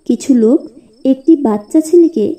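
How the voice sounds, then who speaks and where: A woman's voice: high-pitched, unhurried, mellow. A woman narrating a scripted moral tale for a cartoon, as the storyteller.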